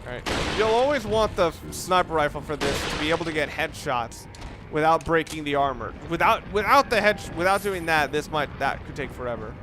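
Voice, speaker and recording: Guy with kinda high voice talking thru a video game, rambly tips, corrects himself, noisy gunfire in the back. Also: expressive, brisk. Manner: rambling, instructive, self-correcting. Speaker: gamer giving a let's play commentary. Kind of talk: off-the-cuff video game walkthrough commentary. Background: video game ambience and gunfire.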